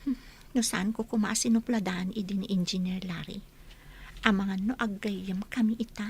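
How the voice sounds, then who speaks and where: Husky Ilocano village gossip woman acting. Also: medium pitch, fairly even intonation, moderate pace, a little mellow. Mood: gossipy. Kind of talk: Ilocano radio comedy drama acting.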